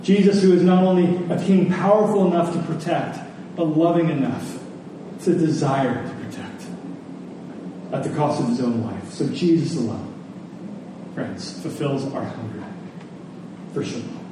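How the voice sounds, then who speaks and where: A man preaching a sermon as a pastor, speaking earnestly and deliberately. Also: fairly high pitch. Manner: earnest, rhetorical questions, deliberate pauses. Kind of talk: sermon closing in prayer. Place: large echoey room before a congregation. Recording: echoey hall, distant mic, faint noise.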